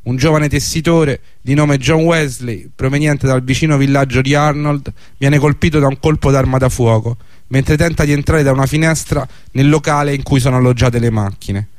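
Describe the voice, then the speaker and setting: A man's voice: medium pitch, fairly even intonation, moderate pace, no room sound, studio quality. A man reading a scripted historical narration for a radio drama.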